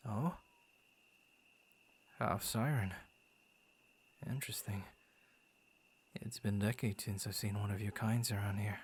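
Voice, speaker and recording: Casting: male actor as friendly forest-guardian werewolf for ASMR boyfriend roleplay; lowish voice, very slow delivery, bashful and theatrical. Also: steady tone, slight background noise. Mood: amused, welcoming. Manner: stammering, chuckling, playfully theatrical.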